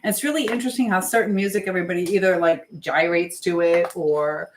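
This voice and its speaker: A woman's voice: fairly low pitch, quick pace, a little hiss or hum. A woman hosting a live stream in a casual, rambling chat, drifting between topics as she keeps busy with chores.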